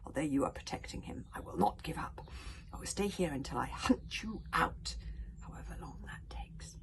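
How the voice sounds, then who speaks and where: Deep in a storybook, a huge male dragon roars and accuses with bitter rage, as a woman reading aloud puts him on. His voice rises and falls widely between outbursts, and he talks fast. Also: lively intonation, whispered. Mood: bitterly resentful. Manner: roaring, accusing.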